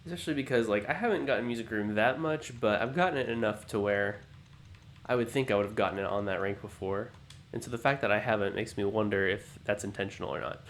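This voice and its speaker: A man with a deep, just-woken voice talks his way through a puzzle game at a relaxed, musing pace, often pausing to weigh his choices. A faint room hiss sits behind him at his home desk mic. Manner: musing, unhurried, self-talking. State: low morning voice, just woken up. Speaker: let's play host. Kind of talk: thinking-aloud gameplay commentary.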